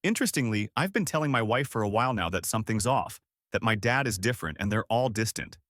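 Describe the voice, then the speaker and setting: A man's voice: on the low side, animated, fast, a bit bright, dry recording, clean studio sound. A man narrating a scripted personal story in the first person.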